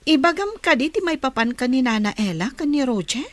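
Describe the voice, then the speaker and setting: A woman's voice: sits high, big pitch swings, a little fast, a bit bright, dry recording. A woman playing an inquisitive young female companion in an Ilocano radio drama.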